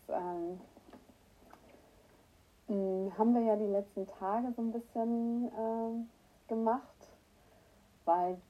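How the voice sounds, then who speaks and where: Hoarse woman chatting on a livestream. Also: mid-range voice, muffled recording. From northern Germany. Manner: chatty, greets viewers by name, clears throat often. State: has a cold, hoarse at times. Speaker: livestream host. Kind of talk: casual morning livestream chat. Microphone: new microphone that is not working right.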